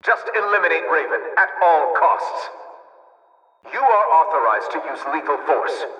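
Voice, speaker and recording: A man's voice: on the high side, lively intonation, measured pace, bright, forceful tone, roomy sound, big-room sound, distant mic, rough recording. A man voicing a stern, officious corporate military officer in a video game, giving orders in a formal, clipped, commanding way. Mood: cold, commanding. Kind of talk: video game voice acting, military radio message.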